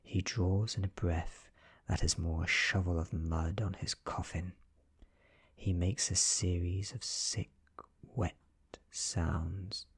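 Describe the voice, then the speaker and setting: A man with a British accent giving a soft-spoken, near-whispered ASMR reading of a horror story, close to the microphone. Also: sits low, fairly even intonation, slow, breathy edge. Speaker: narrator.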